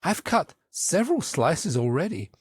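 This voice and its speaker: A man's voice: a little high, very animated, unhurried, husky, no room sound, clean studio sound, heavily acted. A man voicing a young girl in a children's storybook who muses in a wondering, mildly complaining way. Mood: provoked.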